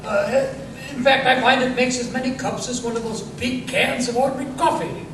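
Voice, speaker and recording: A man playing a genial, courtly gentleman doctor in the style of 1930s radio drama. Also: extremely high pitch, steady tone, normal speed. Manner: genial, old-fashioned courtly phrasing. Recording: echoey hall, distant mic, noisy.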